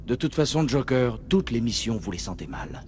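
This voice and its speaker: A man's voice: medium pitch, lively intonation, normal speed, a little mellow, a little hiss or hum, lightly acted. A man voicing a terse, no-nonsense military commander in a video game. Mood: dry.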